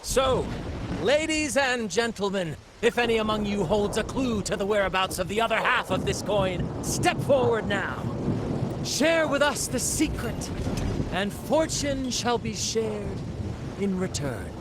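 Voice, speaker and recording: An actor playing a flamboyant, charming young bard in a video game, with a theatrical, flourishing delivery. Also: male voice, high voice, lively intonation, unhurried, heavy background noise. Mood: grand, proclaiming. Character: flamboyant young male bard and troupe performer, charming showman.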